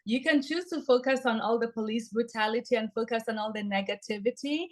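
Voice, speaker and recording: A woman's voice: fairly high pitch, fairly quick. A woman with a Kenyan accent, a podcast guest giving earnest, upbeat interview answers.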